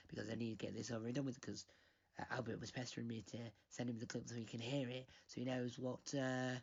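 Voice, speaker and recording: A man's voice: mid-range voice, vocal fry, slightly rough. A young man giving a rambling, chatty introduction to a comedy radio show while losing his voice. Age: young adult. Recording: dull lo-fi recording.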